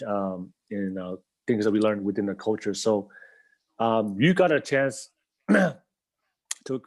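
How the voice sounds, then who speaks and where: A man's voice: lowish voice, darkish tone. A young man who grew up in Tonga, hosting a podcast interview in a loose, rambling way with lots of fillers and easy laughter. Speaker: podcast host. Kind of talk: casual interview chat.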